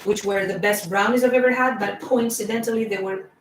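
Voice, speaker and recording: A woman's voice: mid-range voice, fairly even intonation, fairly quick, slight background noise. A woman with a foreign accent chatting casually on a livestream, rambling with lots of fillers. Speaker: band singer and co-host. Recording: roomy sound from a distant mic.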